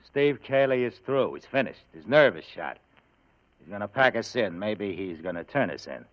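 A tough American gang boss in an old radio crime play. The voice is low, the talk blunt and slangy, with a domineering edge. The recording is dull and muffled. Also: male voice, very animated, normal speed. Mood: bossy, angry. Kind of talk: 1950s American radio crime drama acting.

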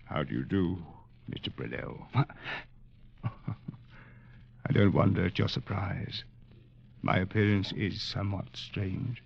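Low, sinister, corpse-like doctor. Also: male voice, vocal fry, breathy edge, lo-fi sound, exaggerated voice. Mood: eerie courtesy. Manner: formal, measured, ominous. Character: gaunt corpse-like sinister doctor, coldly courteous and probing. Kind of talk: old-time radio drama acting. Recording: band-limited, noisy vintage radio broadcast.

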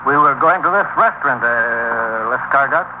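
A man's voice: medium pitch, animated, moderate pace, natural voice. Actor as a huffy businessman guy, touchy and aggrieved, dull noisy 1950s radio sound. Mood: explaining. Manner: indignant, defensive. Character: huffy, self-important married businessman, aggrieved tourist. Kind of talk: 1950s radio drama acting.

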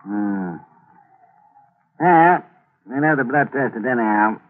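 A man's voice: mid-range voice, animated, slow pace. A man in a 1940s radio drama playing a suspicious police inspector who asks pointed questions. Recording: tinny old radio broadcast with hiss.

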